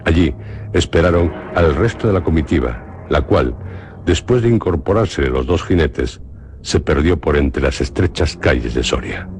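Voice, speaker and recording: A man with a Spain Spanish accent narrating a radio drama in a measured, grave way. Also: very deep voice, lively intonation, darkish tone, a little hiss or hum.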